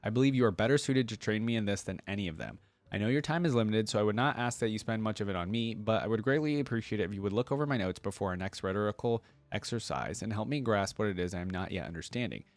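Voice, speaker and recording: An earnest young acolyte girl of game lore read out by a man speaks in formal, polite letters. The delivery is quick and slightly low, with a dry, close sound. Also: lively intonation, no echo. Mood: earnest, pleading.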